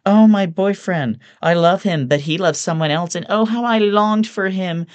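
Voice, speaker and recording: A man voicing a smug, lewd, loudmouthed young yuppie with a sneering, sarcastic delivery in an audiobook. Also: high voice, moderate pace, clean tone, lo-fi sound, voice pushed up, lightly acted. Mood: mocking. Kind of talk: audiobook character voice.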